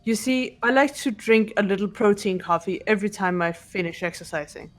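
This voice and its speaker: Woman playing a stoic female fighter, measured and formal. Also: mid-range voice, soft background music, lightly acted. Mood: calm, serious. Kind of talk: fan dub of visual novel game dialogue.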